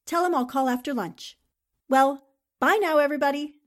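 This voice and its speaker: A woman playing a brisk, breezy female impostor posing as a newspaperwoman, in 1940s radio drama style. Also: high-pitched, background noise, lightly acted. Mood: hurried, evasive.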